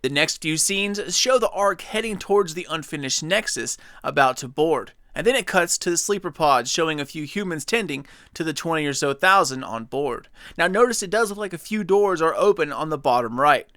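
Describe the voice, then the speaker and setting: A young man casually breaking down a video game trailer for his viewers, chatty and excited. His voice is bright, smooth and slightly high. The close mic sounds dry, with no room behind him. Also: moderate pace, hardly any pauses, dry recording. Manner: enthusiastic, chatty, speculative. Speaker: gaming channel host.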